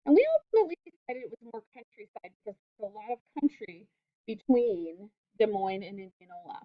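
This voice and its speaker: A woman's voice: a little high, no room sound, muffled recording. A woman teacher reading a children's picture book aloud, speaking directly to young listeners. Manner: addresses young listeners directly with simple questions. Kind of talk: children's read-aloud with questions and asides.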